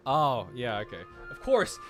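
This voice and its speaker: A man chatting casually with friends while playing a game and reading its text aloud, animated and exclamatory. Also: mid-range voice, unhurried, music in the background. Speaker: host of a group visual novel playthrough. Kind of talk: casual group gaming chat with reading aloud.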